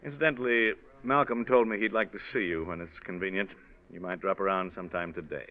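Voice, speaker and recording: A man's voice: low voice, fairly even intonation, quick pace, natural voice. A male actor plays a formal man of the divorce courts in a 1940s radio drama. He speaks in a pointed, condescending, insinuating way. The sound is narrow and tinny, as on vintage radio. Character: stiff, insinuating man handling a divorce case.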